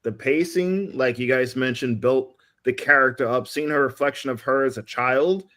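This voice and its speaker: A man's voice: mid-range voice, normal speed, a bit bright. Slangy man on a podcast panel. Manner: slangy, enthusiastic. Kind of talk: casual group discussion.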